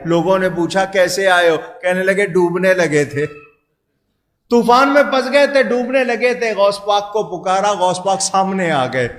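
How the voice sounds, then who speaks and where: A man's voice: high voice, unhurried. A man telling a religious story to listeners, repeating phrases and adding explanatory asides. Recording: roomy echoing sound.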